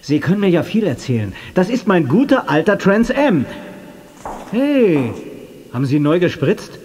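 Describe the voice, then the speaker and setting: Brash, high-pitched young action hero. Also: male voice, very animated, unhurried, darkish tone, a little hiss or hum. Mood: incredulous, joking. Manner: informal, cocky. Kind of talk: radio play acting.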